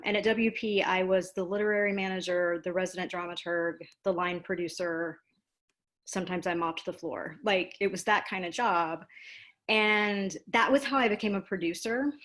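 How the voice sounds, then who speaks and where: A woman's voice: mid-range voice, a bit bright. A woman giving casual interview answers on an online video call, explaining her work in an informal, self-deprecating way. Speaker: guest, dramaturg and producer.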